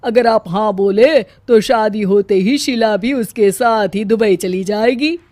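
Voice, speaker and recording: A woman voicing a smooth-talking conman who poses as a father in an animated story. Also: mid-range voice, measured pace, dry recording, lightly acted. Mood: wheedling.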